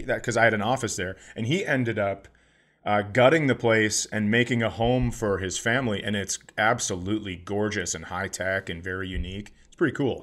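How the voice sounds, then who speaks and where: A man's voice: lowish voice, fairly bright tone. Profane, ranting male comedian. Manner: profane, mock-indignant ranting. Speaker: comedian and podcast co-host. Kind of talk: casual podcast chat and storytelling.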